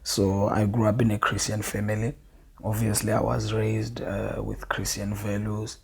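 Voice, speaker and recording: A South African man with a husky voice, a gospel singer talking as a podcast guest in a relaxed, soft-spoken way that often trails off quietly. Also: fairly low pitch, normal speed, crowd noise. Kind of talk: casual interview chat.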